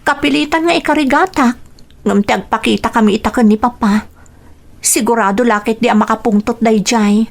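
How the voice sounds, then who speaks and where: A woman playing a gentle, troubled young runaway daughter in a radio drama, plaintive and confiding, with a Filipino accent. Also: a little high, brisk, creaky. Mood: confessing. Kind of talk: radio drama acting and narration.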